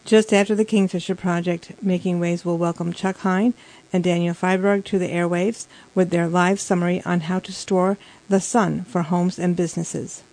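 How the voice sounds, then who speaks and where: Female magazine show host, lower-than-average register, presenting scripted introductions. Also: little pitch movement, normal speed, a little mellow, poor audio.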